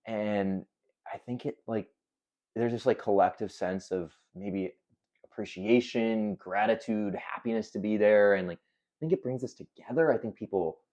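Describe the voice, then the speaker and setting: Young male guest, low mellow voice, thoughtful and meandering in conversation. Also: moderate pace, dull audio. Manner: reflective, rambling, filler-heavy. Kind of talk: casual conversation.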